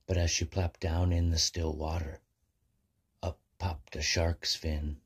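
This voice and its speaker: Deep husky guy reading a rhyming bedtime story for kids. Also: flat delivery, takes it slowly.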